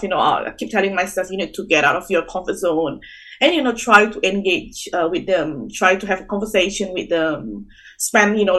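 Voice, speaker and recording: A woman's voice: mid-range voice, sharp tone, slightly rough. A young woman from Indonesia, an early childhood teacher, talking earnestly in a casual interview with lots of "you know" fillers.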